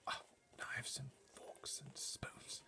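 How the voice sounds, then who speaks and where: A man voicing a discreet, commanding royal butler from a storybook, whispering his formal, measured orders in a breathy voice. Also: natural voice. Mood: muttering, pondering. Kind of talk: storybook readaloud character voice.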